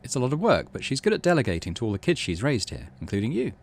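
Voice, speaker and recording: A man's voice: medium pitch, wildly swooping pitch, very fast. A man doing casual game commentary, thinking aloud and reading game text out as the host of a gaming channel.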